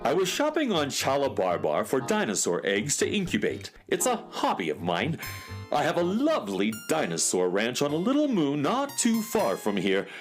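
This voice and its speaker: A man's voice: medium pitch, huge pitch swings, moderate pace, faint music behind. A man playing a fussy, earnest, eccentric handyman inventor in a children's radio serial, speaking precisely and theatrically. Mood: earnest explaining.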